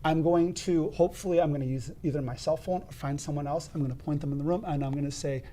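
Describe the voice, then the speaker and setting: A man explaining CPR steps as an instructor in a podcast studio, with a loud, emphatic teaching voice and frequent restarts. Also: on the high side, quick pace, slight background noise.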